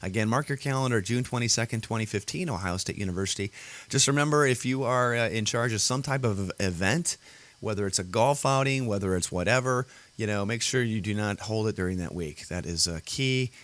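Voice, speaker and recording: A man hosting his own show in casual solo chat, his voice gravelly and worn today, rambling with fillers and restarts. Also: on the low side, brisk, fairly bright tone. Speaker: podcast host. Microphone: computer audio setup.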